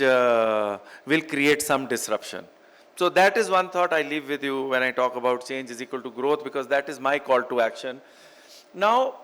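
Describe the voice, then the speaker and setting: A man's voice: a little high, a bit bright. A middle-aged man with an Indian accent giving an emphatic motivational business keynote, repeating phrases for effect. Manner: emphatic, repetitive phrasing, rhetorical questions. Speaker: keynote speaker, business executive. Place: large indoor event hall. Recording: echoey hall with long reverb.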